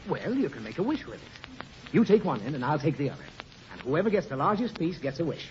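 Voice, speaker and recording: A man's voice: a little high, sing-song, fast talker, darkish tone. A man playing a fussy, easily flustered father reasoning with his small daughter in a 1940s radio comedy. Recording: muffled, noisy 1940 radio, studio audience.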